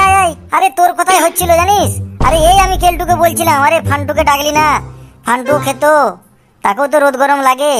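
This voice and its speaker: A woman voicing an excuse-making, foul-mouthed male drinking buddy in a comic cartoon, hurried and slangy. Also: high voice, a bit bright. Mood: placating. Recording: clear background noise.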